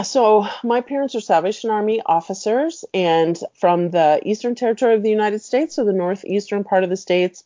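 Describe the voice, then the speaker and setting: Chatty middle-aged woman, dark dry voice. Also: medium pitch, dry recording, lo-fi sound. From Mexico City. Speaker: clinical psychologist guest. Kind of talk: casual interview chat.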